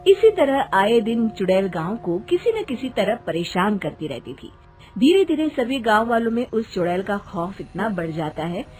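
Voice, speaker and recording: A woman with a fairly high voice narrates a children's story. Her delivery is scripted storytelling, with music clearly playing behind her.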